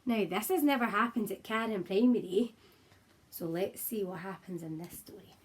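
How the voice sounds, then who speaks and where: A woman primary teacher with a slightly husky voice, talking to young children and reading a picture book aloud in an expressive, encouraging way. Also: medium pitch, moderate pace. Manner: expressive, encouraging, simple address to small children. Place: child's room at home, indoors.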